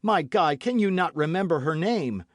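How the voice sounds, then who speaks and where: A man's voice: fairly high pitch, big pitch swings, normal speed, fairly bright tone, dry recording, clean studio sound, pitched above the performer's own voice. A man voicing a passionate young man who cries out urgently. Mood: alarmed. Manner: crying out, urgent. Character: young man, passionate and frantic, devoted lover.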